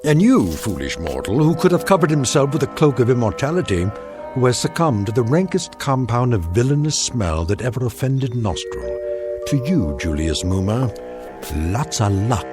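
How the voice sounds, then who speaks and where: An actor playing a proud, flowery Elizabethan playwright, declaiming grandly in archaic phrases. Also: male voice, medium pitch, big pitch swings, moderate pace, close to an everyday voice. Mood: indignant, scornful. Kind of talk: theatrical TV drama acting. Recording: music under the dialogue.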